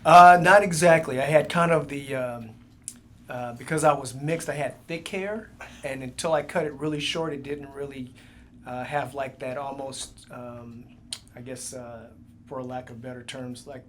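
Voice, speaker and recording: A man in his sixties, a police chief, answering interview questions in a halting way with many fillers. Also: medium pitch, steady tone, slight background noise. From United States. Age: 60s.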